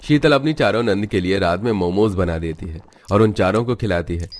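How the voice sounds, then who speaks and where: A man reading scripted storytelling narration for an animated family tale. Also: deep voice, fairly quick, no room sound.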